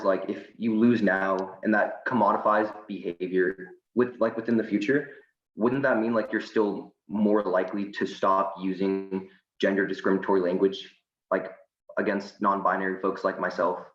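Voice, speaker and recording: Low-voiced teen debater, echoey. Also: steady tone, moderate pace, muffled recording. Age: teens. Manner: casual, many "like" fillers. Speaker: high school debater. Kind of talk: debate crossfire questioning.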